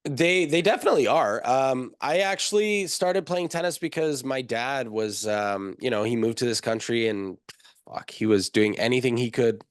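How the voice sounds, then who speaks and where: A young man talking as a guest on a podcast, explaining things in a casual, quick way with lots of fillers. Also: mid-range voice, dry recording, studio quality. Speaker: guest, nutritionist.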